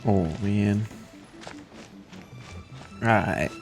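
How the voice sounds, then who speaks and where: A man's voice: on the low side, steady tone, very slow, over music. A young man giving casual gameplay commentary in short, slangy, murmured reactions.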